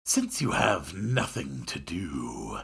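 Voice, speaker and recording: A man voicing a sleepy, gloomy, odd young female art student in a breathy, slow deadpan for a visual novel reading. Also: extremely low pitch, pitched below the performer's own voice, full character voice. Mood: deadpan, drowsy. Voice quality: breathy, whispery. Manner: slow, deadpan, drawn-out delivery.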